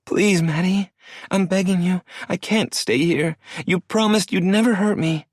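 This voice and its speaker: A man's voice: sits high, fairly even intonation, a little slow, no echo, studio quality, pitched above the performer's own voice. A man voicing a sobbing young woman. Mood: pleading tearfully. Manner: breathless, begging. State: crying. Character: distraught young woman, pleading and tearful.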